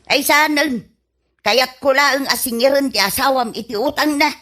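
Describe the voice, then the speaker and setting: A woman's voice: sits high, moderate pace, bright, forceful tone. A woman playing a blunt, demanding older neighbour in an Ilocano radio comedy drama, scolding insistently with an Ilocano accent. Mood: stern, demanding.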